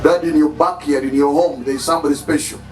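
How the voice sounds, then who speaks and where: A man's voice: on the high side, fairly even intonation, fast, reverberant. A playful man emceeing a traditional introduction ceremony, trading jokes and switching languages as he talks. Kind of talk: lively ceremony banter. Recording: distant mic in a loud room with music.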